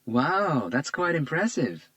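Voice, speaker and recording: A man voicing a playful young woman, high and bright. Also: very animated, takes it slowly, no echo, exaggerated voice. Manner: playful, teasing.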